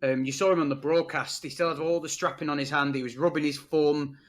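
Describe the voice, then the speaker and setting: A man co-hosting a fantasy football podcast, telling stories in a relaxed, chatty way. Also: on the high side, fairly quick. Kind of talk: casual chat.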